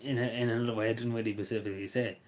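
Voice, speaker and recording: A man's voice: medium pitch, little pitch movement, very fast. A man chatting casually on a livestream, rambling and hesitant with lots of fillers.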